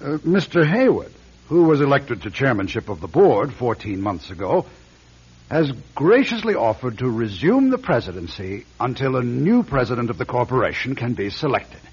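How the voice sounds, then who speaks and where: An American man playing a smug, calculating board chairman in a radio drama, his voice husky. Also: medium pitch, very animated, normal speed, darkish tone. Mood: formal, reading aloud. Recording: dull radio drama recording.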